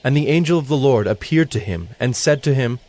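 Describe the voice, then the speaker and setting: Mid-pitched male scripture narrator. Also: animated, brisk, a little mellow.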